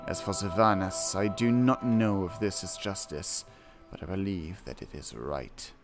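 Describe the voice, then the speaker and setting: A man streaming a game, reading quest text aloud and reacting casually, with excitable exclamations and hesitant, self-correcting commentary. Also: low voice, a little slow. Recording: music behind the voice. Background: game music.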